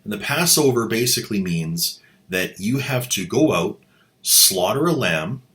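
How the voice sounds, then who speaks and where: A man's voice: lowish voice, animated, unhurried. A man hosting a commentary video who mocks the drama clips he plays with a sarcastic, incredulous delivery. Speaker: host, commentator.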